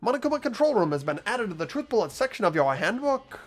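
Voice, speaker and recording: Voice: medium pitch, sing-song, quick pace. A playthrough host reading a video game story aloud in character, with casual asides.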